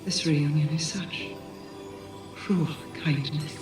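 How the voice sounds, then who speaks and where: An actress playing a proud, grieving otherworldly queen in a video game, commanding and deliberate. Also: sits low, steady tone, reverberant. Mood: solemn, wounded. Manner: regal, deliberate, cold. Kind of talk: scripted video game dialogue. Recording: distant voice under clear music.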